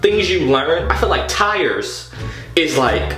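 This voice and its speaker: A man telling a story casually and comedically, in an exasperated, animated, slangy way with plenty of swearing. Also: on the high side, takes it slowly. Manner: animated, exasperated, profane, slangy with repeated "you know what I'm saying". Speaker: storytelling vlogger. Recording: echoey room with music behind.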